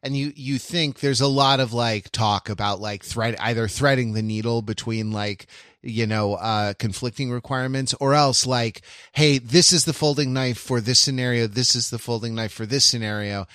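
Halting man chatting, close dry sound. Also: mid-range voice, fairly even intonation, fairly bright tone, dry recording. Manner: halting, rambling, full of self-interruptions. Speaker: podcast host. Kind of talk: casual chat.

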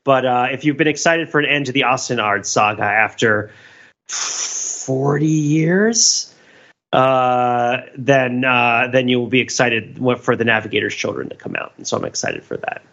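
A man's voice: mid-range voice, normal speed, fairly bright tone, highs cut off. A man co-hosting a podcast, talking casually about pop culture in an enthusiastic, geeky way. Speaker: podcast co-host.

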